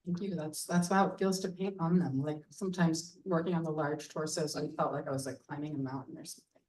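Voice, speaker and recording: Woman, low register, artist hosting a live talk; halting, upbeat; off-mic sound. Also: steady tone. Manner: hesitant, many ums, warm and enthusiastic. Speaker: artist leading a public talk. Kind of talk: casual public conversation. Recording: voice heard from far off the mic.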